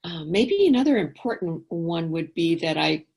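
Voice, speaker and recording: A middle-aged woman as an interview guest, speaking thoughtfully and candidly, often trailing off into quiet asides. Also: fairly low pitch, big pitch swings, moderate pace, gravelly, slightly rough. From England. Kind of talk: reflective interview talk.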